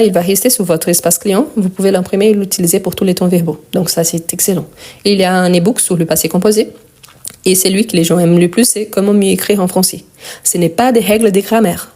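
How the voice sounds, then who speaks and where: A woman's voice: medium pitch, warm tone. A French teacher whose first language is Portuguese, speaking with a Brazilian accent as she patiently walks through grammar during a live lesson. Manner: patient, repeats and spells out endings.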